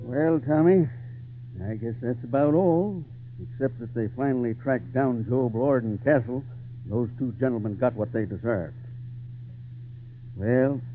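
A man's voice: mid-range voice, unhurried, natural voice. A man playing a genial, folksy circus owner who tells stories in an old radio drama. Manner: avuncular, chuckling, folksy. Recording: tinny, hissy vintage radio recording.

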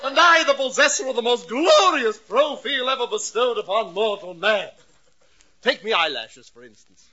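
A vain, grandiose thespian declaims every phrase as if on a stage. His voice is high for a man and very sharp, forceful in the old radio comedy manner. The vintage recording is dull and lo-fi. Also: lively intonation, moderate pace, heavily acted. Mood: indignant, boastful. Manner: grandiose, florid declaiming with archaic "me" for "my". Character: pompous, vain, theatrical male ham actor. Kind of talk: 1940s radio comedy acting.

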